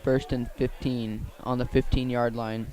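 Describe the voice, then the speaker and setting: American guy doing live football play-by-play, pretty flat delivery, trips up and restarts bits. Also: medium pitch, a little slow. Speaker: play-by-play commentator. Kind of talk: live radio football commentary. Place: outdoors at a football field.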